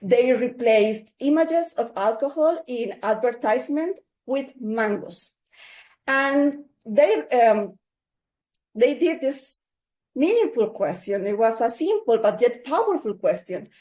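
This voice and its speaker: A woman's voice: fairly high pitch, expressive, takes it slowly, a little mellow. A woman ending a webinar presentation over a video call, earnest and hopeful. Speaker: webinar presenter from an advocacy organization. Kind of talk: closing a prepared presentation.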